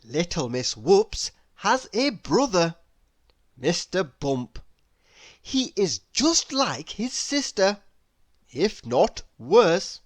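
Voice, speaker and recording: Woman reading a children's story, lively pitch. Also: no echo. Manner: deliberate, theatrical, with playful exclamations. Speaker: read-aloud storyteller. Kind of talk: expressive children's picture book narration.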